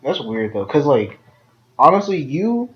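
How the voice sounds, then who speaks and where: A man's voice: fairly high pitch, very animated, unhurried. Young guy chatting on a podcast, kinda nasal, says like a lot, muffled lo-fi sound. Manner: rambling, repeats words, many fillers. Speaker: podcast co-host. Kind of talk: casual chat between friends. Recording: dull lo-fi home recording.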